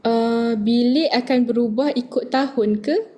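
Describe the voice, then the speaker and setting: A woman's voice: fairly high pitch, normal speed, dark tone. Young Malaysian woman answering student questions. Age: young adult. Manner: mixes Malay and English, reads questions aloud then answers. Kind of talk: casual online Q&A session.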